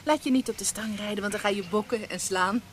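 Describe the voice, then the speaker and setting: A woman's voice: mid-range voice, fast, natural voice. An actress playing a frank, devoted young woman in a radio play, teasing and affectionate. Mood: teasing, fond. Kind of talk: Dutch radio play acting. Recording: noisy old radio play recording.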